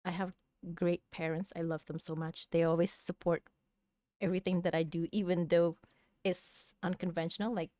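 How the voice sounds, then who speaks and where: A woman's voice: fairly low pitch, little pitch movement, normal speed, dark tone, no room sound. A woman from Indonesia, an English speaker, answering interview questions hesitantly with many fillers. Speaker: guest, real estate investor. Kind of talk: casual interview.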